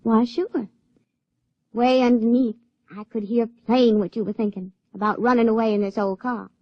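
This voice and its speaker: A woman's voice: a little high, fairly even intonation, moderate pace, no room sound, close to an everyday voice. A woman acting in a radio drama as a naive, spirited young country woman, with folksy rural speech. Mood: coy, knowing. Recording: dry, dull radio-drama recording.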